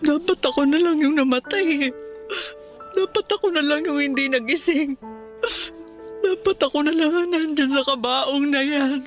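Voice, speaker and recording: A high female voice with a Filipino accent, an actress playing a mother shattered by loss. She is bitter and raw with tears, in the heightened manner of radio drama, over faint music. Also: normal speed, close to an everyday voice. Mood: despairing. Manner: tearful, emotionally raw delivery. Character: grief-stricken, bitter mother mourning her young son.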